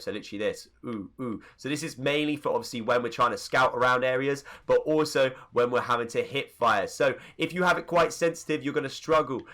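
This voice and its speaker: A man's voice: mid-range voice, a bit bright. A man with a stuffy, congested voice from a cold, giving a chatty game settings tutorial with rambling asides. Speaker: gaming YouTuber. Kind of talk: casual settings tutorial voice-over.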